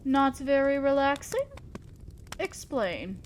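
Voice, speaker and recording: A woman's voice: sits high, lively intonation, a little hiss or hum. A woman playing an imperious, old-fashioned female demon lord in an audio roleplay, speaking formally and with condescending concern to the listener. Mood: thoughtful, concerned. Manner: commanding, formal, measured, condescending yet concerned. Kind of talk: scripted roleplay monologue addressed to the listener.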